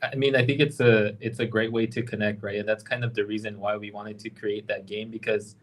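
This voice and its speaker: A man's voice: fairly low pitch, little pitch movement, a little fast. A man from Los Angeles, a guest game creator, talking in an easygoing, reflective way and often adding "right?" and "you know". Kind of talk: casual podcast chat.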